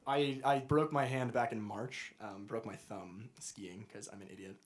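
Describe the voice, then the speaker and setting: A young man from Montana, a guest musician in a casual interview, joking about himself in a dry, deadpan way. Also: on the low side, normal speed. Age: young adult. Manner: dry, self-mocking deadpan humor.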